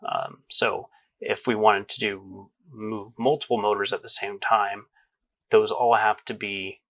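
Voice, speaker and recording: A man with a fairly low voice presents a homemade electronics project. He explains things step by step, as in a tutorial narration. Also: sharp tone, dull audio. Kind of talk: tutorial narration and screen demonstration.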